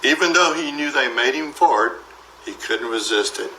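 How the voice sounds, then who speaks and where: A man narrates a children's storybook with a bright, forward voice. He reads expressively and acts out the dialogue. The room adds a clear echo, and there is faint noise behind him. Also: medium pitch, lively intonation, normal speed, distant mic. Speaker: storybook reader.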